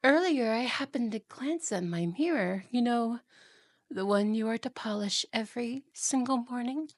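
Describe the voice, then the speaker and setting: A woman's voice: a little high, moderate pace, dry recording, lightly acted. A woman playing a haughty, cruel evil queen and wicked stepmother, imperious, scolding and condescending, in a fantasy audio roleplay. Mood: accusing. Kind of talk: fantasy ASMR roleplay acting.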